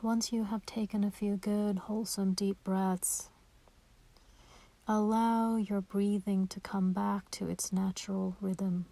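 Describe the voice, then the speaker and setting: A woman guides a meditation in a soft and breathy voice. Her tone is warm, relaxed and dark, with nothing sharp in it. She gives gentle, soothing instructions and leaves space between phrases. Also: medium pitch, no room sound. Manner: gentle, slow and soothing, with pauses.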